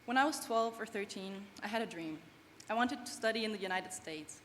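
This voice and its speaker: A woman's voice: medium pitch, lively intonation, a little fast. A woman from Germany in her twenties, a non-native English speaker, giving an earnest, heartfelt student speech at a graduation ceremony. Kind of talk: prepared commencement speech. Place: large event hall. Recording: long hall reverb, faint background noise.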